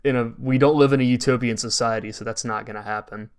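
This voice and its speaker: A man's voice: medium pitch, steady tone, quick pace, clean tone. A young adult man with an American accent co-hosting a podcast, talking casually in a rambling, storytelling way full of "like". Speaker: podcast co-host. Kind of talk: casual conversational podcast talk.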